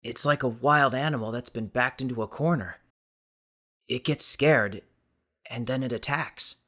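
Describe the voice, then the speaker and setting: A man's voice: medium pitch, unhurried, pushed and bright. A man acts out a teenage boy from an audiobook adventure, skeptical and sardonic. His mid-range voice sounds defensive and exasperated, faltering when he is cornered. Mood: uneasy, pensive. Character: exasperated skeptical eighteen-year-old American high school boy.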